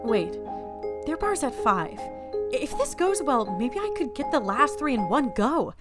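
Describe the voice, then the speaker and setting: Woman playing a flustered, high-voiced, animated office newcomer. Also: measured pace, loud music behind the voice. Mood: eager. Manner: flustered, stammering, apologetic. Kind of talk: audio drama acting.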